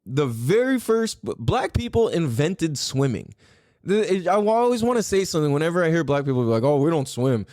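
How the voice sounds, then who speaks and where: A male podcast host talks in a loose, rambling, conversational style. His voice sits a little high for a man and moves around a lot in pitch, giving an animated, opinionated delivery. The sound is very dry, with no room echo. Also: brisk. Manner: animated, rambling, frequently swearing. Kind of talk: casual opinionated podcast talk.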